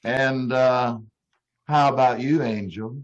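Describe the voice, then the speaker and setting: A man's voice: mid-range voice, steady tone, slow pace, a little hiss or hum, lightly acted. Man acting a slick flirty salesman, muffled sound. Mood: smooth, hurried. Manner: slick, pet-name-dropping sweet talk. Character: smooth, flirtatious, brash door-to-door vacuum salesman. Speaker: amateur radio troupe actor. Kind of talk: old time radio comedy acting. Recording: dull, lo-fi video call audio.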